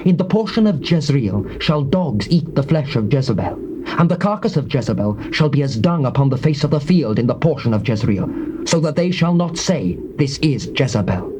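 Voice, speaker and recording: A man's voice: mid-range voice, big pitch swings, moderate pace, lightly acted. An actor with a British accent playing a fierce, commanding biblical warrior king, declaiming imperiously in dramatised scripture. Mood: solemn, commanding. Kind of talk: dramatised scripture, classical stage acting. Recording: noisy old cassette tape recording.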